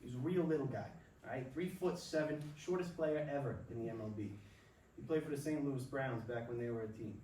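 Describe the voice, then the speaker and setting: A man playing a warm, encouraging father who gives folksy, coaxing pep talks in a staged play reading. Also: mid-range voice, a little fast, natural voice. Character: warm, encouraging Bronx father, former minor-league ballplayer. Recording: echoey room, far mic, faint noise.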